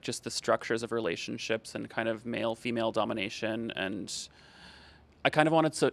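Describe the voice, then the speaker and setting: Man from Canada, an artist in a casual interview. Halting delivery full of hedges and fillers. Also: medium pitch. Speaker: visiting artist.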